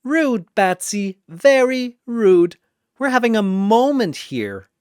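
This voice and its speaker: A man's voice: sits high, big pitch swings, clear, resonant tone, no echo, clean studio sound, voice pushed up, heavily acted. A man voicing a mocking, theatrical triangle demon trickster in an audio battle story. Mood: mocking menace. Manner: mocking, drawn-out theatrical delivery. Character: gleefully taunting manic triangle demon trickster.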